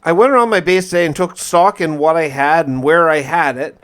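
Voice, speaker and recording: A man's voice: on the high side, fairly quick, a bit bright. A man narrating his survival gameplay like a diary, telling it casually but dramatically, with wry asides. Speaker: gamer narrating a survival challenge.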